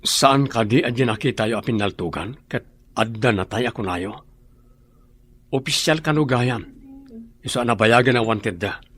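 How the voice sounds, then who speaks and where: A man's voice: mid-range voice, normal speed, pauses often, fairly bright tone, a little hiss or hum, close to an everyday voice. A man with a Filipino accent acting in a radio drama as a determined crime victim. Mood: firm.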